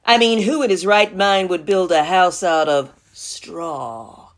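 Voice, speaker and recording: A woman's voice: fairly low pitch, slow. A woman voicing a folksy, indignant male wolf who tells his side of a storybook tale with mock innocence. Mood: mock-innocent.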